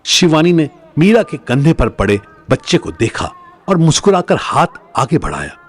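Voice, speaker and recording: A man's voice: on the high side, wildly swooping pitch, normal speed, slightly rough. A man narrating a scripted audio drama as a storyteller, in a dramatic style.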